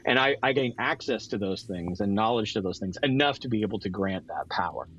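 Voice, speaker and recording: A man's voice: fairly low pitch, animated, a little fast, fairly bright tone, slight background noise. A man playing a tabletop game, casually improvising a story as he talks it through. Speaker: guest player in tabletop game. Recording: slightly dull lo-fi audio.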